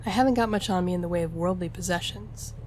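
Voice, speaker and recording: A woman's voice: fairly low pitch, fast talker, slight background noise, natural voice. A woman playing a charming, flirtatious gambler and stowaway in an intimate audio roleplay, teasing and conversational as she speaks to the listener. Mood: persuasive. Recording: music behind the voice.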